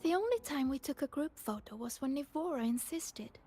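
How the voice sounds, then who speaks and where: A woman voicing a sweet, excitable young female student in a video game, speaking softly and earnestly. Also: high voice, brisk, faint music behind. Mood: gentle, wistful.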